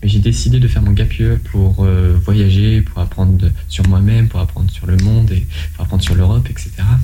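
A man in his twenties from France, answering interview questions in a measured way, often pausing and restarting. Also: fairly low pitch, fairly even intonation, dark tone, a little hiss or hum. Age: 20s. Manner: measured, with frequent hesitations and restarts. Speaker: interview guest, volunteer.

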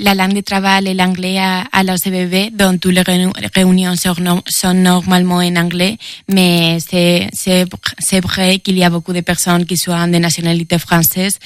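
A woman with a Spanish accent answers interview questions in French, her second language, pausing and repeating words as she reaches for the right phrase. Her voice sits fairly low and flat. The sound is close and dry. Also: no echo. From Spain. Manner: halting, repeats words while searching for phrasing. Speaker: intern at an EU agency.

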